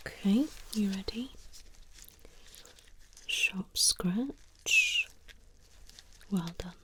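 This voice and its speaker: A woman whispering softly in an ASMR medical roleplay, playing a doctor who gives gentle, reassuring instructions. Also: medium pitch, wildly swooping pitch, drawn-out words, noisy background. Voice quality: soft whisper.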